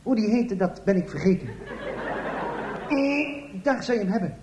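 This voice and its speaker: Man putting on an eager, high-pitched police sergeant. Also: animated, normal speed, reverberant, lo-fi sound, crowd noise, noisy background. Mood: eager, deadpan. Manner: deferential, reporting. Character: eager, dutiful male police sergeant in parody. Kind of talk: comic radio play acting.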